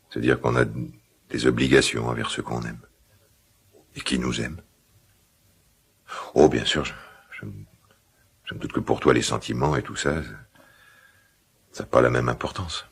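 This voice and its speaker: A middle-aged actor playing a gruff but tender, old-fashioned father in a naturalistic radio drama, speaking in halting, musing phrases. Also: very deep voice, a bit bright, heavily acted. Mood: hesitant, reflective. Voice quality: gravelly, husky.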